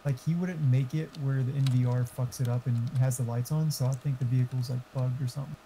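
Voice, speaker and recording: A man's voice: mid-range voice, fairly even intonation, fast talker, mellow, soft background music, poor audio. A man in casual technical chat over voice call, explaining things and swearing freely. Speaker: game modder on voice chat.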